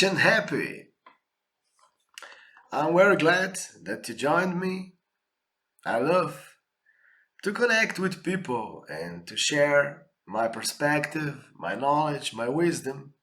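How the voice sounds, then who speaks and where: A man's voice: a little high, a bit bright. A man from Slovenia, hosting his own podcast in an earnest, inspirational monologue. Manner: earnest, inspirational, drawn-out phrasing. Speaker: podcast host. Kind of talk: slow, reflective solo podcast monologue.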